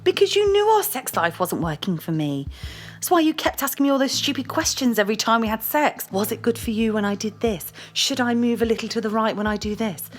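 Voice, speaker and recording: A woman's voice: on the high side, expressive, a little fast. An actress playing a blunt, domineering, self-assured girlfriend who scolds and swears in an audio drama. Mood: reproachful. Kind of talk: dramatic audio play dialogue.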